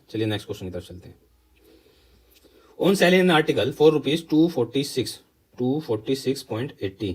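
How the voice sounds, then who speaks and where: A man's voice: medium pitch, darkish tone, low-quality recording. A man with an Indian accent teaching step by step, posing questions and answering them himself, switching between two languages. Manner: mixes Hindi explanation with English terms. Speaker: maths teacher for exam preparation. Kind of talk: step-by-step lesson explanation.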